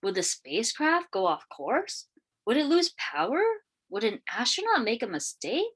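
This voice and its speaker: A woman reading a children's picture book aloud in an expressive, deliberate storytelling manner. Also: on the high side, slightly rough. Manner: expressive, questioning lifts and deliberate phrasing. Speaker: read-aloud storyteller, teacher.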